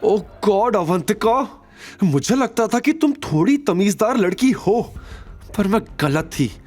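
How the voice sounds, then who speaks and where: A man's voice: high voice, lively intonation, slow, darkish tone, voice pushed up, exaggerated voice. A man voicing a jealous, haughty young ex-wife in an audio drama, scornful, accusing and imperious.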